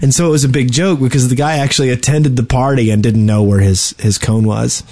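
A man chats as a podcast co-host. His voice sits at a middle pitch for a man, and his delivery is easygoing and conversational, as if explaining something to friends. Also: fairly even intonation, brisk, darkish tone. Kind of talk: casual gaming podcast chat.

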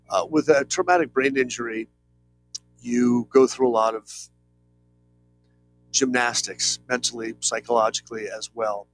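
A man's voice: medium pitch, rough recording. Earnest rambling man in his fifties. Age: 50s. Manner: earnest, rambling, many fillers and "if you will". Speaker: interview guest, painter. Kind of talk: reflective conversational interview.

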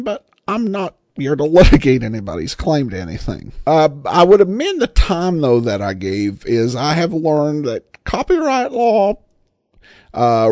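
A man hosting a solo podcast, giving informal commentary in a self-deprecating, hesitant way with frequent pauses. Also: fairly high pitch, a little mellow, no room sound, studio quality. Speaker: podcast host.

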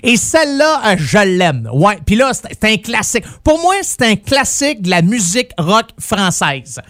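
A man's voice: high voice, very animated, normal speed, fairly bright tone, no room sound, studio quality. A middle-aged man with a Québécois accent hosting a rock music radio show, chatting in a casual, playful, rambling way. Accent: Québécois French. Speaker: radio host.

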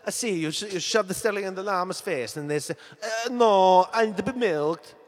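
A man's voice: high-pitched, a little fast, close to an everyday voice. A man voicing a hungry, passionate Italian ghost, rambling theatrically in broken English with an Italian accent in an improvised comedy. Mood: boastful. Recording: long reverb on the voice.

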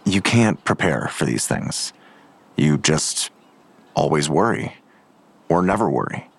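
A man's voice: rumbling low, lively intonation, takes it slowly, vocal fry, husky, heavily acted. An actor playing a blunt, world-weary father in an audio drama, dry and clipped in delivery. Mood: guarded.

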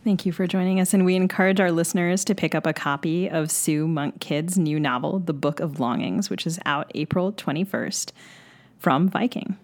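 A woman's voice: lowish voice, normal speed. A woman co-hosting a literary podcast, delivering prepared questions and announcements. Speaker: podcast co-host.

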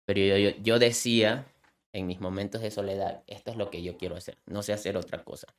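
A man's voice: fairly low pitch, steady tone, fairly quick, slight background noise. Earnest young man. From Ecuador, coastal Manta. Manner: earnest, heartfelt, repeats himself when emotional. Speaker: animal rescuer and content creator. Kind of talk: personal storytelling in an interview.

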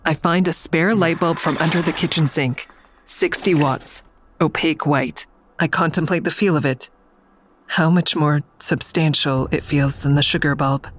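An actor playing an anxious narrator who recounts a tense ordeal in measured first person, in an audio drama. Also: medium pitch, fairly even intonation, a little hiss or hum, close to an everyday voice. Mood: resigned dread.

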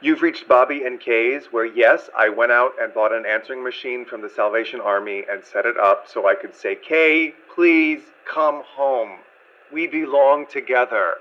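A man's voice: medium pitch, moderate pace, lo-fi sound, natural voice. An actor playing an exasperated, emotional divorced man, emphatic and theatrically wounded, in comic audio drama. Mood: pleading. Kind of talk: comedic audio drama dialogue.

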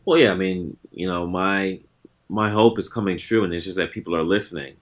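A man's voice: low voice, a little fast. A man in his forties talking as a guest in an interview, laid-back and reflective, often circling back on his thoughts. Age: 40s. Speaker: guest musician. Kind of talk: casual interview talk.

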